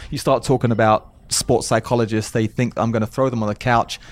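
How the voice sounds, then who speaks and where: A man's voice: mid-range voice, steady tone, a little fast, a little hiss or hum. A man from South London with a light London English accent, speaking earnestly as a coach interviewed on a podcast, often repeating his first words. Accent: South London English, softened by years in America. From South London, England. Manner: earnest, repeats words when starting sentences. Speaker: mental toughness coach, guest.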